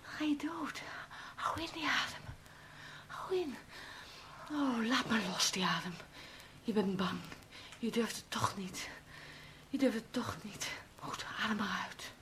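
An actress playing a tender, seductive guide in a hypnotic audio drama, soothing the listener intimately and often in a whisper. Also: female voice, fairly high pitch, measured pace, noisy background, close to an everyday voice. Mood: hushed, insistent. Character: tender, seductive woman guide coaxing listener toward death. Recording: music behind the voice. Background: music.

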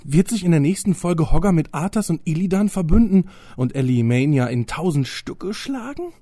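A man's voice: fairly high pitch, lively intonation, moderate pace, warm tone, no room sound. A man playing an exasperated, cocky sidekick in a comic audio drama, whiny and indignant.

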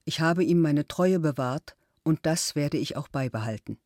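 A man's voice: on the high side, expressive, normal speed, a little mellow, dry recording, clean studio sound, natural voice. An actor reading the earnest statement of a man who vouches loyally for a former worker. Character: male witness vouching for a worker.

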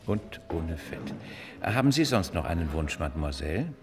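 A deferential restaurant waiter with a very low voice, faint music behind. He is stiffly polite, with swooping, formal intonation. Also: very animated, normal speed, a little mellow. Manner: stiffly polite, echoes orders. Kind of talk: German radio drama acting.

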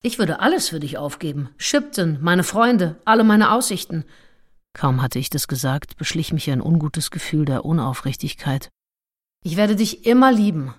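A woman's voice: low voice, expressive, moderate pace, dry recording, clean studio sound. An actress playing a scheming, self-aware nineteenth-century female seducer in a confessional, theatrical radio-drama monologue. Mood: ardent. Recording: music behind the voice.

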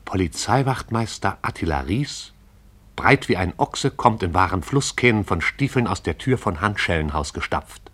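Deep-voiced man narrating a radio play. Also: lively intonation, fairly bright tone, slightly rough. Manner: brisk, vivid storytelling.

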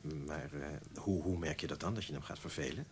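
A man's voice: rumbling low, fairly quick, breathy edge, full character voice. An actor playing a hesitant, anxious man with career ambitions in a radio drama, stammering and timidly polite. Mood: uneasy, probing. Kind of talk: naturalistic Dutch radio drama.

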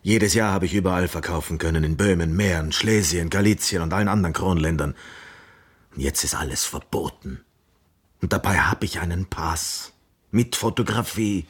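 An actor playing a plain-spoken travelling chestnut seller in a radio drama, with a husky voice and a simple, deliberate way of talking. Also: male voice, sits low. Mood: grumbling.

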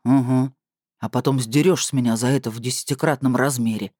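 A woman reader voicing a mighty, irascible male being with a rumbling, grumbling bass in a storybook. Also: fairly even intonation, brisk, warm tone, no echo, clean studio sound. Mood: suspicious. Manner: grumbling, brooding.

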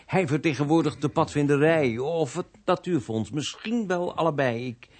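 An actor playing an earnest vicar in a radio play, husky and often searching for words. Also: male voice, fairly high pitch, normal speed, close to an everyday voice. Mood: searching, hesitant. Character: earnest, hesitant male vicar, new to his parish. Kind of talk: Dutch radio play acting.

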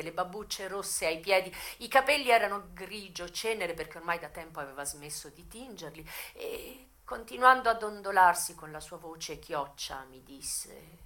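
A woman giving an expressive, theatrical first-person narration of a memoir, moving between hushed and emphatic delivery. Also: fairly low pitch.

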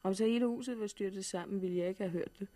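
A woman's voice: medium pitch, warm tone, lightly acted. An actress playing a frightened, polite young woman who has survived a catastrophe, in a 1960s Danish radio drama. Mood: shaken, confiding. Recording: noisy vintage 1960s radio recording.

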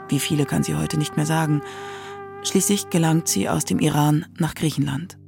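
A man narrating a scripted radio documentary in a measured, solemn manner. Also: a little high, creaky.

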